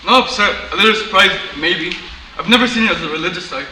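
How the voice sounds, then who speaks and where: A man's voice: high voice, quick pace, sharp tone. An actor playing a blunt, grumpy father in a comedy sketch, recorded in an echoey room from a distance. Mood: mildly amused. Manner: blunt, grumbling. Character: grumpy, loud, plain-living middle-aged father of a student. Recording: echoey room, distant mic, faint noise.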